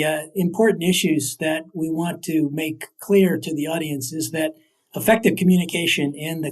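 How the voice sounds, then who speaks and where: An older man, an academic guest, explaining his field in interview answers. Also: a little high. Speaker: guest, emeritus professor of communication.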